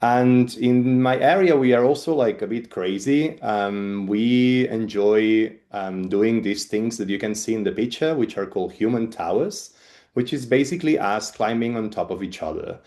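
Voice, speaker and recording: A man's voice: on the low side, moderate pace, warm tone. A man from Catalonia in his thirties with a Spanish accent, giving a webinar talk as a biologist, hesitant with many ums and restarts. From Spain, Catalonia. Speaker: guest speaker, evolutionary biologist. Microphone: video call.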